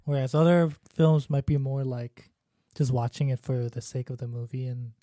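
A man's voice: medium pitch, fairly even intonation, normal speed, warm tone, dry recording. Man, casual film chat, hesitant and reflective, dry close sound. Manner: thoughtful, hesitant, many fillers. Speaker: film discussion co-host.

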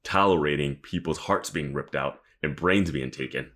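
A man co-hosting a podcast in casual conversation about a TV show, speaking in an animated, exclamatory way. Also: rumbling low, moderate pace. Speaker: podcast co-host.

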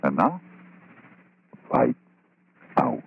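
Very deep, husky male old-time radio announcer. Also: wildly swooping pitch, drawn-out words. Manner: dramatic, formal, emphatic. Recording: muffled old radio recording, music behind.

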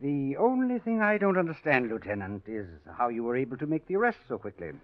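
An actor playing a courteous, philosophical attorney in a 1940s radio drama, formal and gravely composed. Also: male voice, medium pitch, big pitch swings, fairly quick, natural voice. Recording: muffled vintage radio recording.

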